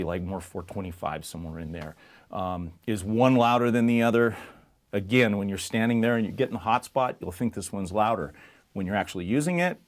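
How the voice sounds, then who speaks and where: Low-voiced man, casual product explainer. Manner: plain-spoken, conversational, explanatory. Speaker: music store presenter. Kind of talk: casual product comparison talk to camera.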